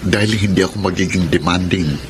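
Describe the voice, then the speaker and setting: A man's voice: deep voice, a little fast, slight background noise, natural voice. A man acting a hopeful, lovestruck young suitor in a Filipino radio drama, sentimental and pleading, with florid earnest delivery. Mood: earnest, tender. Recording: radio drama with music underneath.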